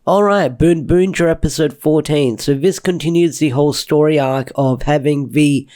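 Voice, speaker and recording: A man's voice: fairly high pitch, moderate pace, warm tone. A man with a stuffy, snuffly voice from a cold, casually reviewing a TV episode in an enthusiastic, rambling way. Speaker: TV show reviewer.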